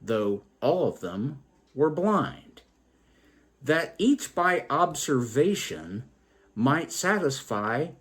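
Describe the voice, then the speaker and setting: A man hosting a story program, telling tales and reflecting on their morals in a deliberate, emphatic way. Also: fairly high pitch, big pitch swings. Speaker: storytelling show host.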